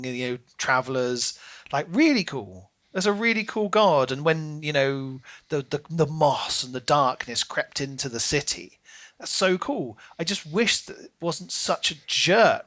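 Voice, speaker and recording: Bright-voiced man chatting about books. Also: medium pitch. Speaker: podcast co-host. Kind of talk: casual book discussion.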